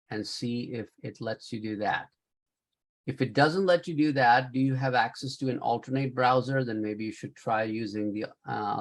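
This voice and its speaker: A man with a Pakistani accent, a professor on a video call, patiently talking a student through tech trouble step by step. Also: medium pitch. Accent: Pakistani English. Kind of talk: online class talk.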